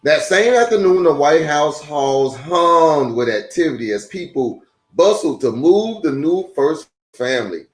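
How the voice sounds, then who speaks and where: A man hosting a children's storytime, reading aloud in an expressive style and speaking directly to his young listeners. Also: a little high, unhurried. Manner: addresses young listeners directly with rhetorical questions and exclamations. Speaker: storytime host and pastor reading a children's book. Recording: roomy echo with music underneath.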